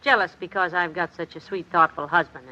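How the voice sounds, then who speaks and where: An actress playing a sweet, doting middle-aged housewife in a 1950s radio sitcom, cooing pet names. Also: female voice, lowish voice, fairly even intonation, brisk, sharp tone, dull audio, a little hiss or hum. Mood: pleading.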